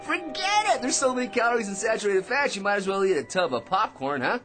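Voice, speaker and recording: A man's voice: sits high, sing-song, fast talker, fairly bright tone, faint music behind. An actor playing a long-winded, argumentative office bore in his forties who prattles, repeats himself and lectures in a radio drama. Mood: giddy. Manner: pushy, prattling, chuckles at his own points, repeats himself.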